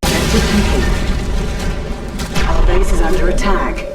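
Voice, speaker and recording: Strategy game announcer, actress: composed military woman, low pitch, slightly distant under heavy music. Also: unhurried, close to an everyday voice. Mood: businesslike. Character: composed female military battle announcer, crisp and impersonal.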